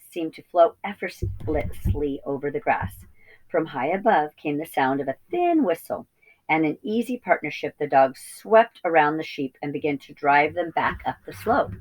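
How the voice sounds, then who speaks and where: A woman with a notably low voice narrates a children's story. She reads expressively, as a teacher would for young listeners. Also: big pitch swings, moderate pace, low-quality recording. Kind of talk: expressive children's read-aloud.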